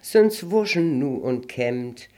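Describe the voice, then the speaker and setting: An older woman with a Low German accent slowly reciting rhymed dialect poems in a measured, sing-song way. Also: animated, darkish tone. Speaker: interviewee reciting dialect verse.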